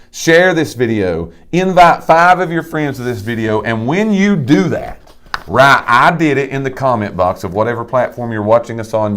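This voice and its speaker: A man co-hosting a live talk show, folksy and emphatic, full of rhetorical questions. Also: mid-range voice. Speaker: co-host of a live real estate talk show. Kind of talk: casual chat.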